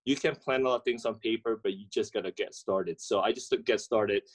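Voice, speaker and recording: Man, halting with many fillers. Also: fairly low pitch. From Indonesia. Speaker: guest, coffee entrepreneur. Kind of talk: conversational interview answers. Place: indoors, video call. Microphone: webcam or laptop mic on video call.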